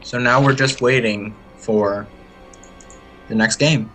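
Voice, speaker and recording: Bright-voiced American man co-casting. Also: medium pitch, steady tone, slow pace, faint music behind. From United States. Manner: easygoing, short remarks, laughs along. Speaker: esports co-caster. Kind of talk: live game commentary.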